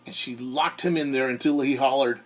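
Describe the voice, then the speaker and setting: A man who is a teacher reads a storybook aloud to children in an expressive way, often turning to ask his listeners questions. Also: medium pitch, brisk, breathy edge, rough recording. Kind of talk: animated storybook read-aloud with asides to young listeners.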